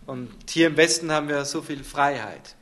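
A man's voice: a little high, normal speed, fairly bright tone. A man interpreting a sermon live, turning each short phrase into a fuller sentence right after the speaker.

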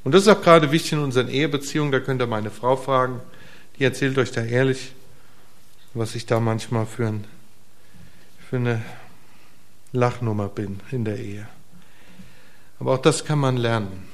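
A middle-aged man gives a relaxed sermon in a church, speaking straight to the people in front of him. His voice sits in a middle pitch, and he swings between emphatic, raised bursts and quiet, soft asides, often asking his listeners questions. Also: moderate pace, long pauses. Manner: casual, conversational preaching with rhetorical questions to the congregation. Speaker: preacher. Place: church hall before a congregation.

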